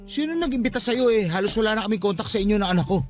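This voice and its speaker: Casting: agitated jealous ex, Filipino accent, very high voice, fast delivery, confrontational radio drama. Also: male voice, lively intonation, soft background music. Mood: jealous, angry. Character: jealous, hot-headed younger brother and ex-boyfriend. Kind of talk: Tagalog radio drama acting.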